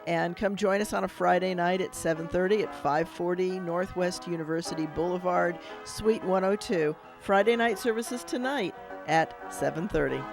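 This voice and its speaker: A man's voice: sits high, moderate pace, vocal fry, music in the background. A man hosting a radio call-in show as a rabbi, explaining at length and thinking aloud with restarts.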